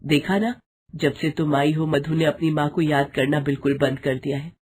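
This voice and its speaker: A woman voicing a caring, protective older mother-in-law, soothing and gently commanding, in a moral story. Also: low voice, fairly even intonation, normal speed, natural voice. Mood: reassuring.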